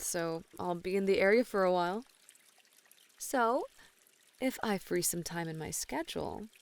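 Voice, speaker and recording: Woman acting a flirty, teasing model in ASMR roleplay. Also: medium pitch. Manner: flirty, teasing, sweet-talking. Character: flirty, playful female model and gamer relaxing in an onsen.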